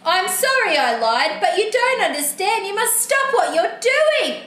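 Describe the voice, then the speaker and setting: Cranky, pleading male storybook character played by a woman: high, melodramatic, very animated. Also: exaggerated voice. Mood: indignant pleading. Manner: melodramatic, exclaiming, drawn-out pleading. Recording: roomy echo, faint background noise.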